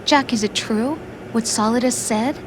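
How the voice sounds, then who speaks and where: Woman playing a high-voiced, tender, worried girlfriend. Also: moderate pace, noisy background, natural voice. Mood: concerned. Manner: gentle, pleading, emotional. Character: tender, worried young woman consoling the man she loves. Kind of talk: dramatic video game cutscene acting. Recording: music behind the voice.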